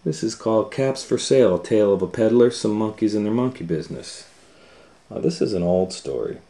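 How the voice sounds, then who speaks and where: A man's voice: fairly low pitch, lively intonation, fairly quick, dark tone. A man reading a picture book aloud to children as storytime host, with conversational asides to the listeners.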